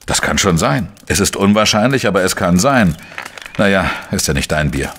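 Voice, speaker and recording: An actor playing a weary, dogged journalist who narrates a crime radio play in a calm, understated way. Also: male voice, sits low, normal speed, slight background noise. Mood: sober, troubled.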